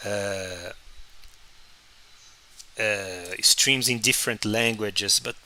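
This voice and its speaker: A man whose first language is Portuguese, chatting casually on a live stream while he draws, halting and restarting often. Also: lowish voice, bright, forceful tone. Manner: halting, many restarts and fillers. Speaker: comic artist streaming his drawing.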